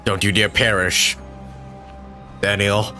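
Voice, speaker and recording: Man, lowish voice, game streamer, casual reactions, invested, sweary, close mic, music behind. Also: little pitch movement, takes it slowly. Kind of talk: casual live reaction commentary, reading some lines aloud.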